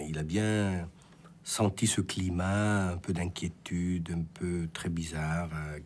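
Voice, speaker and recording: Very deep-voiced Swiss Italian man, hesitant. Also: darkish tone. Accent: Swiss Italian (Ticino). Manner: hesitant, many fillers and restarts. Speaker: film director interviewed. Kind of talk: radio interview answers. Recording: radio studio with faint background noise.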